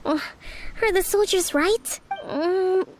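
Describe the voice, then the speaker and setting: A woman playing a composed, calculating young military strategist in a video game, speaking in a measured, articulate and polite way. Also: very high voice, slight background noise. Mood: composed, thoughtful. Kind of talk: scripted video game voice acting. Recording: music behind the voice.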